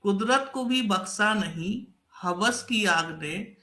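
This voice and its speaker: A young man with a sore throat recites ghazal couplets, saying each line twice in a slow, deliberate way. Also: sits high, sharp tone. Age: young adult. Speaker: ghazal poet.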